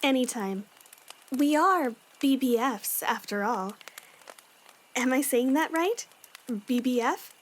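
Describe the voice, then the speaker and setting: A woman's voice: sits high, lively intonation, slow, long pauses, heavily acted. A woman playing an earnest young warrior woman, frank and supportive like a big sister, in a fan audio drama. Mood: friendly.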